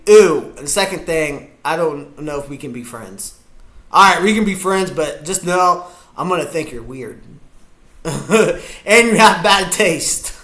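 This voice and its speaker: Goofy high-voiced man reacting live. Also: pushed and bright. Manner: chatty, goofy, talks to viewers. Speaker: streamer watching a football game. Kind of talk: casual live reaction chat.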